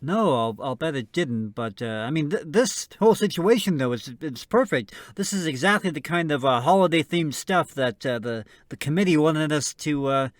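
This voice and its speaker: A man's voice: fairly high pitch, expressive, dry recording. Hesitant male radio co-host, dry sound. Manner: hesitant, halting. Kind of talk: casual scripted banter and introduction.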